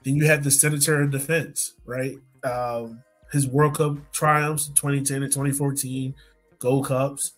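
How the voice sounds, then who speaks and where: A man's voice: medium pitch, flat delivery, measured pace. Casual man hosting over faint music. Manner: informal, frequently checks in with "right" and "like". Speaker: podcast host. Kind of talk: casual sports chat.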